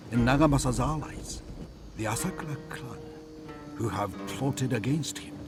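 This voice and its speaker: A man's voice: mid-range voice, lightly acted. A man voicing a solemn chronicler of samurai warfare in scripted historical game cutscene narration. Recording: music under the voice. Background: loud background music.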